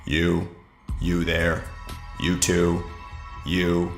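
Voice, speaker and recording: A man's voice: sits low, monotone, drawn-out words, vocal fry, soft background music, exaggerated voice. A man voicing a curt police officer who gives clipped, commanding orders in a horror story.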